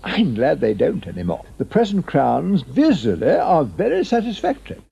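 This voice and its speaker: Man as a gravelly, husky commentator, dull lo-fi archive sound. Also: on the high side, wildly swooping pitch, moderate pace, mellow, exaggerated voice.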